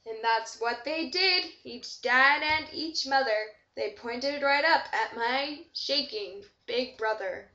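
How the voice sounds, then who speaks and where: A woman's voice: high voice, takes it slowly, hardly any pauses, sharp tone. A woman reading a rhyming children's story aloud with expression. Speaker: storybook reader. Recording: dull echoey amateur room recording.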